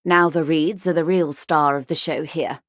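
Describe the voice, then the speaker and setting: A woman's voice: low-pitched, fairly even intonation, moderate pace, no room sound, clean studio sound. An actress playing a wealthy, worldly older woman in a video game, articulate, arch and wryly condescending. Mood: wry, informative. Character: wealthy, worldly older woman, urbane, wry, intellectually vain. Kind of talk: video game character dialogue.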